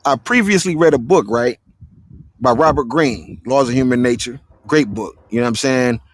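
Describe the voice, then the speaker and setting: A man's voice: mid-range voice, normal speed, no echo, clean studio sound. A man hosting a casual live interview, talking laid-back in street slang and often asking "you feel me". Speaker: interview host.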